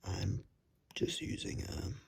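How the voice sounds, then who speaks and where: A man whispering casually to viewers as an ASMR creator, self-deprecating, recorded on a phone's built-in microphone. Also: slow pace. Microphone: phone, no external microphone.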